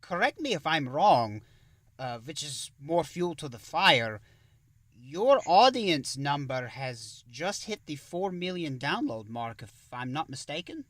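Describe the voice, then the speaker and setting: A man voicing a polite but touchy vampire count who interviews guests on a podcast in deliberate, halting, formal phrases. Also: a little high, big pitch swings, fairly bright tone, dry recording, heavily acted. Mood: courteous, inquisitive. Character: male vampire count hosting a talk show, polite, touchy.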